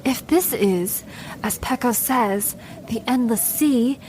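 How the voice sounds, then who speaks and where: A woman's voice: a little high, slow pace, darkish tone, a little hiss or hum, poor audio. A woman playing a pragmatic, impatient adventurer in a fantasy audio drama, matter-of-fact and decisive. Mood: firm, impatient.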